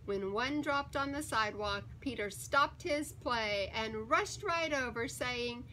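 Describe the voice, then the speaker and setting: A woman reading a children's picture book aloud in an expressive, deliberate and emphatic storytelling manner. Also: fairly high pitch, a little hiss or hum, rough recording. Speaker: story time reader.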